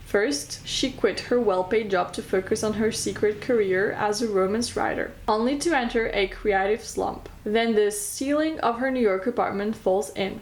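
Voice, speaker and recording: French woman chatting about books. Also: mid-range voice. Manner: enthusiastic, chatty, non-native phrasing. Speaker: book vlogger. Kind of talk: casual book recommendations, reading blurbs aloud.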